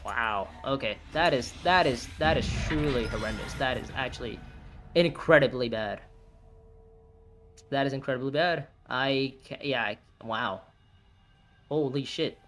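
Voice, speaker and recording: A man in his early twenties streaming a board game, thinking his moves aloud, reacting loudly and swearing at bad turns. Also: mid-range voice, unhurried, faint music behind. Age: 20s. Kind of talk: live gameplay commentary, thinking aloud.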